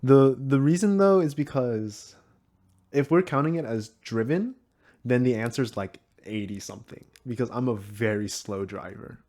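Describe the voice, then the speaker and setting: A young man hosts a relaxed podcast conversation in a mid-pitched voice. He sounds upbeat and laughs easily, with lots of filler words. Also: moderate pace. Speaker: podcast host. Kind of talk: casual chat.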